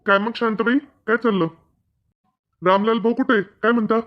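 An easygoing village husband from an animated comedy, voiced by a man. He talks in a homely, questioning way with a rural Maharashtrian Marathi accent. The audio is muffled and lo-fi. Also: high-pitched, moderate pace, close to an everyday voice. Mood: curious. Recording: dull, lo-fi audio.

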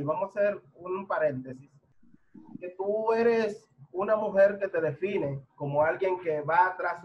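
A middle-aged man hosts from a home office, speaking formally in a somewhat high voice and stammering as he builds long questions. The sound is muffled webcam audio from a video call. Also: slight background noise. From Dominican Republic. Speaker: host. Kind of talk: online TV interview.

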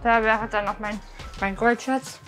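A young woman in her twenties speaks bluntly and matter-of-factly, shrugging things off. Her voice sits a little high, recorded close in a small apartment. Faint music plays underneath. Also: fairly even intonation, unhurried, fairly bright tone. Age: 20s. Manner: blunt, offhand, matter-of-fact. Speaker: interviewee. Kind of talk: casual interview answers.

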